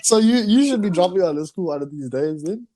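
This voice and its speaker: A man's voice: high voice, expressive, a little fast, background noise. A young man hosting a podcast, playful and laughing often, with casual hesitant phrasing. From South Africa. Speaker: podcast host.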